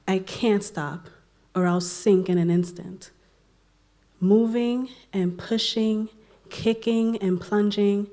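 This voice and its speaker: Slow, mellow-voiced woman reading a poem. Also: medium pitch. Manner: soft, unhurried and emotional. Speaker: open mic poet. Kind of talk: spoken word poetry reading. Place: community center event.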